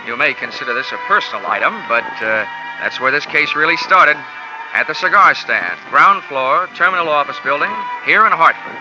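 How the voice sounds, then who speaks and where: A man's voice: a little high, lively intonation, moderate pace. Dry wisecracking detective guy narrating, old lo-fi radio with music behind. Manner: wisecracking, dry hard-boiled delivery. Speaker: insurance investigator lead narrating. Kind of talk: 1950s radio drama narration.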